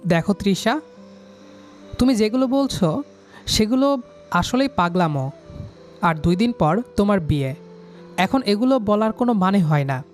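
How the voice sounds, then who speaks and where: A man's voice: high voice, animated, unhurried, pauses often, faint music behind. A young man reading a scripted romantic story in the first person, voicing the lead character's lines.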